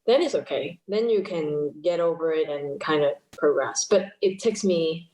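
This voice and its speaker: A Cantonese-speaking woman from chats casually in English, her voice sitting a bit low. She laughs often and pokes fun at herself. The room around her rings with echo. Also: normal speed, a little mellow. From Hong Kong. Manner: chatty, laughs often, self-deprecating. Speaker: guest musician. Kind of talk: casual interview chat.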